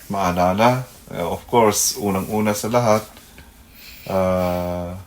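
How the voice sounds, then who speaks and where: A Filipino man hosting a religious radio program in a casual way, halting at times with supportive interjections. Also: low-pitched.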